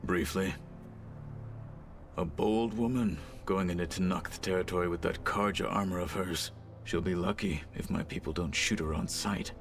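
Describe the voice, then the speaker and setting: Terse, proud low-voiced warrior. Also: male voice, normal speed. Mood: dry, proud. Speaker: video game companion character. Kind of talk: game cutscene acting. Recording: music behind the voice.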